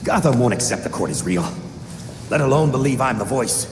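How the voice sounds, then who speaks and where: An actor playing an arrogant, corrupt middle-aged villain in a video game, pompous and mocking. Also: male voice, medium pitch, lively intonation, fairly quick, lightly acted. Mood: menacing. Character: arrogant, corrupt high-society crime lord, taunting and defiant. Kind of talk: dramatic video game acting. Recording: echoey, reverberant game audio with noise.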